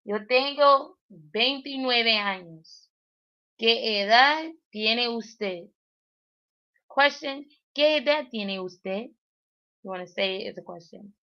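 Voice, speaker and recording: A woman's voice: a little high, slow pace. A woman, learning Spanish on a livestream and thinking aloud, hesitant and full of self-corrections. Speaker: Spanish learner streaming a daily challenge. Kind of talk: thinking aloud while working through a language app. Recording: dull lo-fi stream audio.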